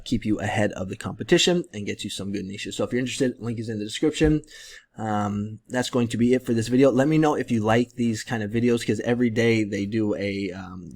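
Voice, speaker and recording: Low, gravelly man with a strained voice. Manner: relaxed, rambling, many fillers. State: losing his voice, clearing his throat. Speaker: print-on-demand seller and tutorial creator. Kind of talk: casual screen-share tutorial.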